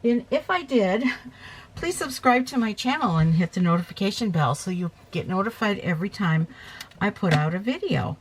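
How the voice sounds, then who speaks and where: A woman with a slight hoarseness from a cold, chatting her way through a paper craft tutorial at her table and correcting herself as she goes. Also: mid-range voice, animated, normal speed. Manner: chatty, talks while working, self-correcting.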